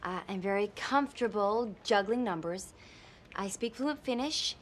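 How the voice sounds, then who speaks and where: An actress as an eager young female journalist in a film comedy, reeling off her qualifications with brisk self-promotion. Her voice sits at a medium pitch, over faint room noise. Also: animated, natural voice. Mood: eager, bluffing.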